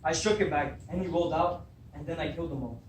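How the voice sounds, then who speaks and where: A man's voice: a little high, fast talker, lightly acted. A young man acting a troubled, hot-tempered king in a readers theatre Greek tragedy, full of fear and guilt. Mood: grave, recounting. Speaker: student actor. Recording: distant echoey room recording with background noise.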